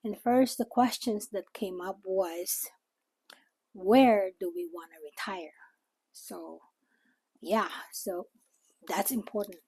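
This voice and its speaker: An older woman with a Filipino accent, native in Tagalog, speaks slowly in an informal vlog style. Her voice is mellow and somewhat husky. She explains things in a halting way, recorded close and dry. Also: medium pitch, no echo, low-quality recording. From Philippines. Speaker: vlogger. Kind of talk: informal talk to camera.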